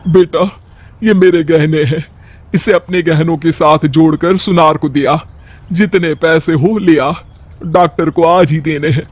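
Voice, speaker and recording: Guy doing an older village saas in a bedtime story, high voice, fretful but loving. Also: steady tone, unhurried, no room sound. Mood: pleading. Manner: fretful, affectionate. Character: worried, caring older village mother-in-law.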